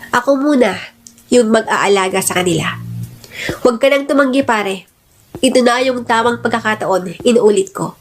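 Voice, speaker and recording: A woman's voice: mid-range voice, lightly acted, rough recording. A woman with a Filipino accent voicing an earnest, insistent male friend in a radio-style drama.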